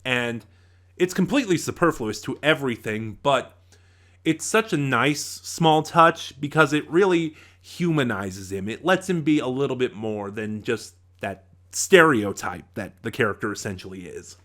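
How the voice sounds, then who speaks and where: A man reviewing in a casual, unscripted way as host, halting at times, trailing off and circling back mid-thought. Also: mid-range voice, expressive, a bit bright.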